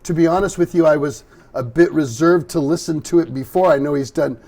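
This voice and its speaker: Gravelly middle-aged American man. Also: fairly high pitch, fairly quick, a little mellow. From California, US. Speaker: podcast host. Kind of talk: casual interview chat.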